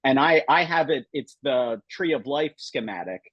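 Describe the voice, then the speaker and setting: A man's voice: mid-range voice, animated, normal speed, no room sound, dull audio. A man hosting a casual roundtable discussion, talking enthusiastically with many fillers. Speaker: host.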